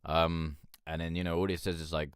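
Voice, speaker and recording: A man with a deep voice hosting a music review show, talking frankly and loosely, swearing and rambling with lots of fillers. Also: level tone, fairly quick, smooth, no room sound, studio quality. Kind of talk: casual chat reviewing submitted songs.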